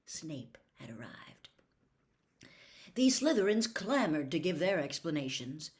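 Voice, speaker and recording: A woman narrating a story as a dramatic audiobook reader, expressive and deliberate. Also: sits low.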